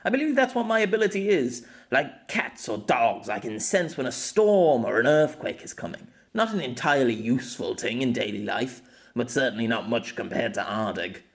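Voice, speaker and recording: A wistful former swordsman as a male narrator plays him in a storybook reading. His pitch swings freely as he reminisces haltingly, with a rueful, self-deprecating manner. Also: very animated, vocal fry. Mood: amused, self-deprecating.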